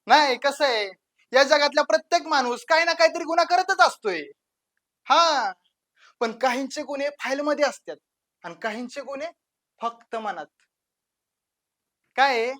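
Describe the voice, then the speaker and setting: A woman's voice: sits high, expressive, normal speed, pauses often. Woman acting swaggering village gangster, rural Marathi accent. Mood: sly, philosophical. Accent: rural western Maharashtra Marathi. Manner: taunting, swaggering, rhetorical questions, swinging from hushed to loud. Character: brazen, menacing village gangster, child of the village chief. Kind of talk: dramatic villain audition monologue.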